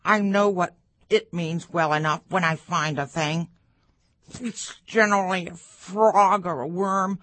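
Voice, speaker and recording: Woman doing a nagging blunt duck for a kids book, drags words out slow, dry recording. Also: lowish voice, fairly even intonation, a bit bright, no echo. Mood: argumentative.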